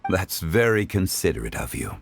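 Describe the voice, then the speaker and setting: A man voicing a refined, courteous, scholarly gentleman in a video game, speaking formally and eloquently. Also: low voice, very animated, normal speed, creaky, a little hiss or hum, heavily acted. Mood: gracious, composed. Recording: music behind the voice.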